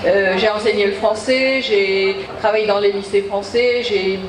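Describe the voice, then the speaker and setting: An older woman from Egypt, introducing herself to an audience in a hesitant way, with many pauses. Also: medium pitch, steady tone, noisy background. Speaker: congress participant introducing herself. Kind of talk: informal speech to an audience. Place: large echoey hall. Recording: echoey hall audio from far off.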